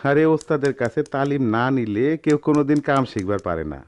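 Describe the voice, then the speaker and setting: A man acting a middle-aged master goldsmith in a radio play, humble and gentle. His Dhaka-area Bangladeshi speech is earnest and advising, with a lively, wide-moving pitch. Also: quick pace, natural voice. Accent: Bangladeshi, Dhaka-area dialect.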